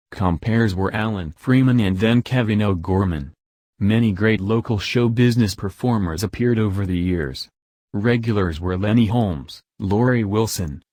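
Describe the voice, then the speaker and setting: A man's voice: lowish voice, a little mellow, no echo. A man reading scripted narration about a radio programme. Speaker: narrator introducing archive radio show.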